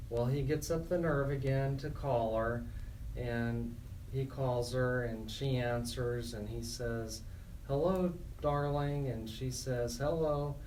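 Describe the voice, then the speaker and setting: A man hosting a radio talk show, speaking deliberately with pauses. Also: medium pitch, a little mellow, distant mic, a little hiss or hum, rough recording. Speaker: radio host and interviewer. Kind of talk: joke telling and interviewing on a radio talk show.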